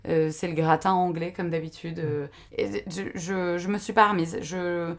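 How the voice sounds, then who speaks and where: A woman's voice: lowish voice, level tone, moderate pace. A woman on a podcast panel, chatting casually and animatedly with many fillers as she recommends a series.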